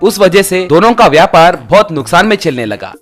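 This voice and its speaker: A man's voice: sits high, sing-song, brisk, fairly bright tone, soft background music. A man narrating a scripted, children's-style story.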